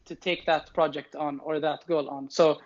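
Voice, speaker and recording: High-voiced man musing in a muffled interview. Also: flat delivery, moderate pace. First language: Arabic. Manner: thoughtful, restarts and repeats words while explaining. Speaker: show founder and host, interviewed as guest. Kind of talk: conversational interview answers.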